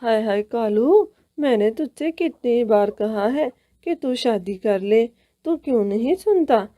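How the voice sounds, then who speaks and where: A woman's voice: a little high, expressive, a little slow, warm tone, no echo. A woman voicing a fussing, dramatic elderly aunt bird who nags and dotes in a cartoon. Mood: nagging. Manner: wailing, dramatic, nagging.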